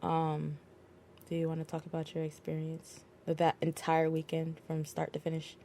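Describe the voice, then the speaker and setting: A woman's voice: low voice, completely flat delivery, moderate pace, warm tone, slight background noise. A woman hosting a wrestling podcast, chatting casually with many fillers and easy laughter. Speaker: podcast host. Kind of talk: casual chat.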